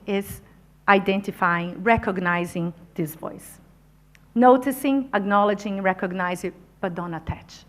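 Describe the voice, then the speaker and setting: A woman who is not a native English speaker, giving an encouraging motivational talk to a conference audience. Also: a little high. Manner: energetic, encouraging, addresses audience directly. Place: conference venue.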